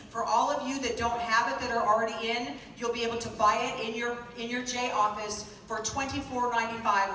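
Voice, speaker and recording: A woman speaks upbeat and persuasive from a convention stage into a handheld mic. Her tone is bright and a bit high. The recording comes from far back in the room and is full of hall echo with a faint hiss. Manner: upbeat, persuasive, with pauses for a live interpreter. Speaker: corporate presenter. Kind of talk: stage presentation to a sales audience. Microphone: handheld mic, recorded from far in the audience. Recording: echoey hall taped from far off.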